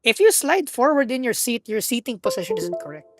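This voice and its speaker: A woman with a husky voice, hosting a live stream and rambling playfully while she plays. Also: fairly high pitch, very animated, fairly quick, music in the background. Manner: rambling, self-correcting, playful. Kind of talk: casual live-stream chat while gaming.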